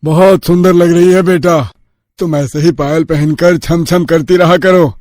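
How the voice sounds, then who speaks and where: A doting, wealthy cartoon father acted by a man. His delivery is slow, indulgent and sentimental, high-pitched for a man, in a dry recording. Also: fairly even intonation, a little mellow, dry recording, natural voice. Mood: affectionate. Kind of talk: cartoon character acting.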